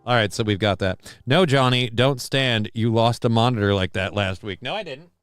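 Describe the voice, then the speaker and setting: Smooth-voiced joking stream host. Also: male voice, medium pitch, normal speed, a bit bright, no echo, studio quality, soft background music. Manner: profane, joking, reads viewer comments aloud; mock-grand war speech delivery. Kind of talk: casual live stream chat. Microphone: desk microphone.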